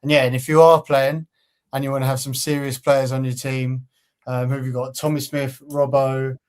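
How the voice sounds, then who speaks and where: A man co-hosting a football fan channel in easygoing casual chat, often pausing with um. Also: medium pitch, flat delivery, darkish tone. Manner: easygoing, fills pauses with um.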